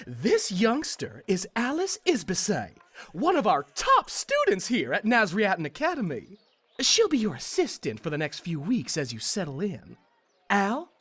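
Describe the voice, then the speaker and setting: A woman's voice: medium pitch, breathy edge, heavily acted. A woman in a fandub playing a flustered, eager gymnastics teacher who speaks with awkward hesitations. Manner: awkward, nervous chuckles, hesitant. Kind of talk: fandub voice acting.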